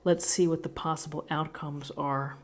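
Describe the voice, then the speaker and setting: A woman giving an unscripted tarot reading, explaining the cards with gentle encouragement and deliberate pauses between phrases. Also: sits low, steady tone. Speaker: tarot reader, host.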